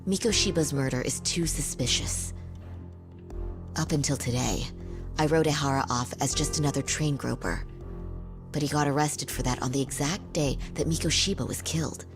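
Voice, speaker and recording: A woman playing a serious, composed defense attorney with a measured, businesslike manner in a video game cutscene. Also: deep voice. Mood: analytical. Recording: game music under the voice. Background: music.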